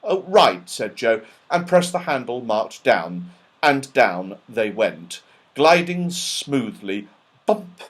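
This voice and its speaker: A man narrating a children's story as an audiobook reader, in an expressive, dramatic style. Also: medium pitch.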